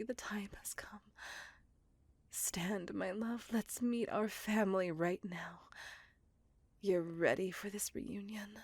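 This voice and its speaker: Breathy, unhurried vampire seductress, acted by a woman. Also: mid-range voice, a little mellow, dry recording. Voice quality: breathy, whispery. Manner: slow, intimate, lingering delivery with sighs. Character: possessive five-hundred-year-old female vampire, obsessively loving, menacing. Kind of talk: breathy whispered ASMR roleplay.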